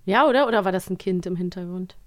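A woman's voice: lowish voice, fast talker. A woman co-hosting a podcast in casual chat, giggly and enthusiastic. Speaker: podcast co-host.

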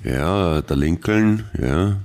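A man's voice: deep voice, expressive, slow pace, a little mellow. A man with an Austrian German accent co-hosting a podcast, stammering and arguing with dry humor. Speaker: podcast co-host. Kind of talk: casual banter.

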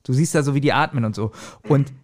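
A man's voice: mid-range voice, quick pace. A man co-hosting a casual podcast, talking in a wry, interjecting way. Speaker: podcast host. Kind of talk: casual chat.

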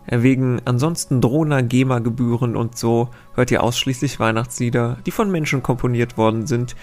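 A man hosting a casual quiz podcast, reading out riddles and wandering into chatty, self-correcting asides. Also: medium pitch.

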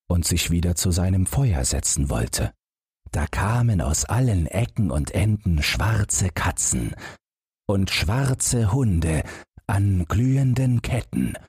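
Deep, husky male storyteller, very animated. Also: no room sound, clean studio sound. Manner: measured, dramatic storytelling. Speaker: audiobook narrator. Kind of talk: expressive scripted fairy-tale narration.

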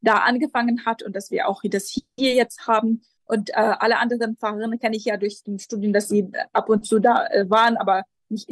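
A woman in her twenties from Jerusalem, talking as a pastor in an interview. She hesitates often and restarts her sentences while she looks for the right words. The sound is dry, with no room echo, and her voice sits at an average pitch. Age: 20s. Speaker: Lutheran pastor, guest. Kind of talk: casual interview answers.

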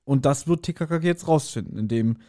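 A man co-hosting a podcast, casually discussing and speculating at length with pauses and self-corrections. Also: medium pitch, warm tone. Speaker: podcast co-host. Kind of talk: casual audio drama discussion.

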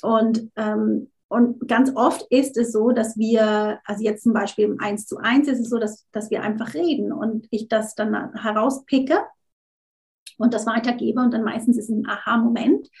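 A woman's voice: on the high side, steady tone, moderate pace, gravelly, a little mellow. A woman interview guest, a coach, talking casually, often restarting words and slipping in English phrases. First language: not German, unsure of her German.

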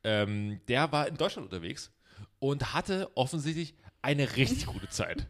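A man's voice: mid-range voice, very animated, unhurried. Podcast co-host, young man, loose and teasing, plenty of ums. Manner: rambling, joking, many fillers. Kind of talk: casual chat.

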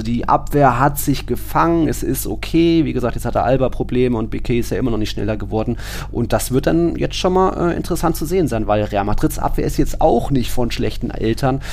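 Male football podcast co-host, average pitch, chatty delivery with loose run-on phrasing. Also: a little mellow. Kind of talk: casual football discussion.

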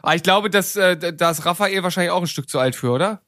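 A man's voice: high voice, fast talker, a bit bright, dry recording, studio quality. A man talking casually as one of a podcast panel.

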